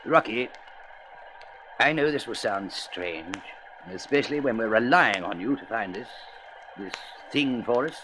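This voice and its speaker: A man's voice: on the low side, animated, unhurried, background noise. A man playing a courteous, grave president of a space council in a radio serial, formal and emphatic. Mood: grave urgency. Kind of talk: vintage science-fiction radio serial acting.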